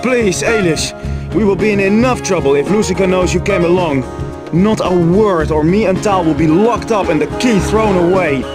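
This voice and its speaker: Actor as high-voiced, courteous scientist. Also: male voice, moderate pace, over loud music, natural voice. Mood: anxious. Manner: articulate, courteous. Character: amiable, enthusiastic male scientist of the kingdom. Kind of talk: video game cutscene acting.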